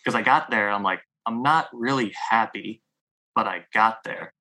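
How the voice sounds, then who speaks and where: Bright-voiced man in his thirties. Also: lowish voice, moderate pace, rough recording. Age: 30s. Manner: reflective, rambling with many fillers. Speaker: podcast guest, musician. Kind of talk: casual conversation.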